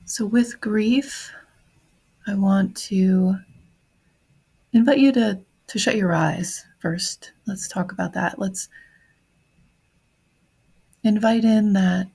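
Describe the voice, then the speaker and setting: A woman leading a guided meditation in a soft, breathy voice, speaking gently in short phrases with long pauses. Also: medium pitch. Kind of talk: guided meditation on grief, slow and reflective.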